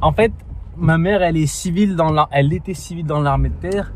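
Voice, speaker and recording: A man's voice: fairly high pitch, quick pace. A man from Réunion vlogging in a car, talking openly and reflectively about himself. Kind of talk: casual chat while driving. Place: in a car, then a gym. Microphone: handheld camera. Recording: noisy car recording. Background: car and street noise.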